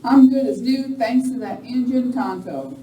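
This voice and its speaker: Recorded from off in a roomy space, a woman plays an impatient, folksy Old West ranch woman in a radio play. Her voice is high and soft-edged, her talk blunt. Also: unhurried, natural voice. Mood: impatient, exasperated. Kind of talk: live amateur Western radio play. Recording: distant, echoey live room recording, faint noise.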